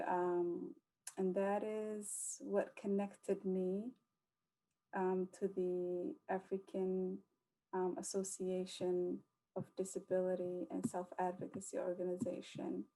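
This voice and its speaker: A young woman from the United States speaking as a panelist at a virtual conference, measured and careful, often pausing on um. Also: medium pitch, dark tone, poor audio. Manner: measured, with frequent um fillers.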